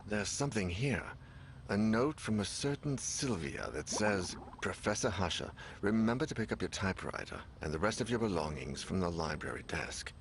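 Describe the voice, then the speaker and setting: An actor playing a weary, troubled man investigating a horror mystery in a video game, speaking gravely. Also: lowish voice, lively intonation, normal speed, slight background noise. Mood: curious. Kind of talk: dramatic video game narration and dialogue. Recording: loud music behind the voice.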